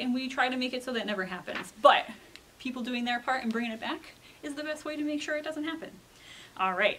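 A woman with a fairly high voice speaks casually to children as a librarian would. She explains through simple questions, answers them herself and keeps checking in. Faint ambient room noise sits behind her. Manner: animated question-and-answer teaching, frequent check-in tags.

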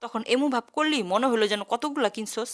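A woman's voice: on the high side, fairly quick, close to an everyday voice. A woman with a Bangladeshi accent voicing a cheeky, dramatic young daughter in a cartoon comedy. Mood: grumbling.

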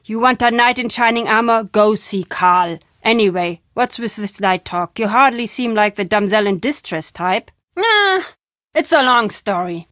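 A woman's voice: mid-range voice, animated, a little slow, fairly bright tone, no echo, studio quality. An actress playing a wry, fatalistic old woman storyteller in a video game, speaking dramatically. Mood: dry, teasing. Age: older. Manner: dramatic, emphatic storytelling with foreboding turns.

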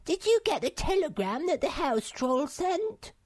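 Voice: sits high, very animated, a little slow, voice pushed up. A reader voicing Sniff, a small greedy creature who whines. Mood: whiny.

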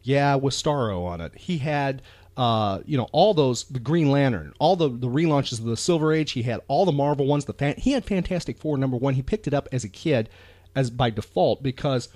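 A man's voice: mid-range voice, lively intonation, moderate pace, darkish tone, no room sound. A man hosting a chatty pop culture podcast, talking with enthusiasm and emphasis, often repeating phrases for effect. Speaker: podcast host.

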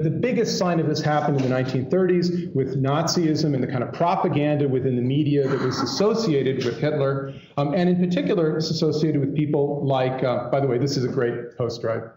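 A man's voice: a little high, warm tone, a little hiss or hum. A man giving a keynote lecture in a conversational, digressive way with wry asides. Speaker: keynote speaker, academic lecturer. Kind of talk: conference lecture to an audience. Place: indoor lecture hall. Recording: echoey room, dull muffled sound.

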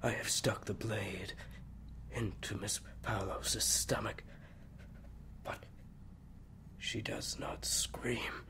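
A man playing a sadistic, clinical mad scientist villain who savors fear, recording a halting audio-drama log. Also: fairly low pitch, fairly even intonation, in a whisper, heavily acted. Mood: hushed awe. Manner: slow, halting, savoring, hushed at times. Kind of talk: acted audio log monologue.

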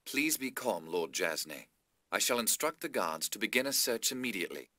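A man voicing a scheming adviser to a lord in a video game, calm, courtly and reassuring. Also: lowish voice, normal speed, dry recording, clean studio sound. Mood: soothing. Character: smooth-talking, scheming male adviser to a lord. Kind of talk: scripted video game voice acting.

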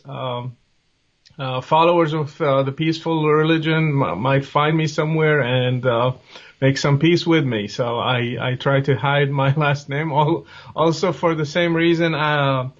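A man's voice: on the high side, measured pace. A man in his forties from Morocco, answering interview questions over a video call from a home office, friendly and hesitant with many fillers. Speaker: guest. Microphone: webcam video call. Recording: dull lo-fi video call audio.

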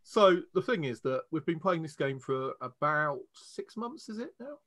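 A man's voice: fairly high pitch, very animated, moderate pace, fairly bright tone. A man with an English accent, casually explaining a tabletop roleplaying game as its game master.

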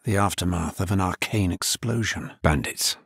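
An actor playing a grim, dutiful necromancer adventurer in a video game, with a grave, measured, terse delivery and a husky voice. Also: male voice, sits low, a bit bright, no echo, clean studio sound, heavily acted. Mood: grave, watchful. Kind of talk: video game character voice lines.

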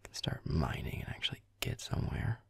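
A man playing a grumpy young werewolf boyfriend in a roleplay audio, muttering deadpan, sarcastic, sweary video game commentary in a breathy, gravelly half-whisper. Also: a little fast, no echo, lightly acted. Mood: muttering, unimpressed. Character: grumpy young male werewolf boyfriend, gamer, sulky and aloof.

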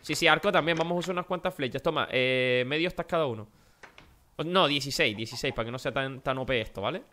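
A man's voice: medium pitch, quick pace, bright, forceful tone. A man with a Spain Spanish accent, his voice nasal and worn out, chatting energetically to viewers while playing a game. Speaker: gaming youtuber. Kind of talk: casual gameplay commentary.

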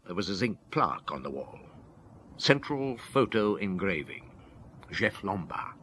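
An actor playing a reflective police detective, recounting a past case in a measured way for a radio drama. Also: male voice, sits low, sing-song, sharp tone, slight background noise, heavily acted. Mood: musing, sombre. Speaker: detective narrator. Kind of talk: old radio drama storytelling.

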